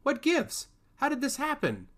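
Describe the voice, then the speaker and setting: A man's voice: very high-pitched, huge pitch swings, measured pace, fairly bright tone, pitched above the performer's own voice, full character voice. A man playing a rude, protective brother in a fantasy story, blunt and challenging in manner. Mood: incredulous. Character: rude, incredulous, protective brother of a young woman. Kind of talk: fantasy audio story dialogue.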